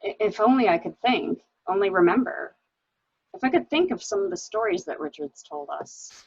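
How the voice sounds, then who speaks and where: A woman's voice: medium pitch, lively intonation, moderate pace, creaky, slightly rough, no room sound. A woman in a dramatic mystery reading, playing an anxious, hesitant widow, on a dull home recording. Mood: distressed, searching. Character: anxious, hesitant new widow recounting her husband's misdeeds. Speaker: library staff reader.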